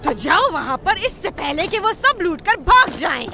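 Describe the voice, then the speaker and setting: Cartoon sorceress, old: imperious, scheming, very high and animated. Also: female voice, normal speed, creaky, loud music behind the voice, exaggerated voice. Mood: sly. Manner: sly, imperious. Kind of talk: animated cartoon dub acting.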